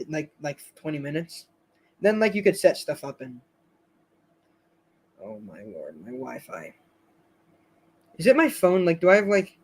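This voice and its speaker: A man with a somewhat high voice hosts a casual live stream. He rambles and hesitates, restarting his sentences and filling the gaps with fillers. His voice is getting a little hoarse. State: slightly hoarse, losing his voice.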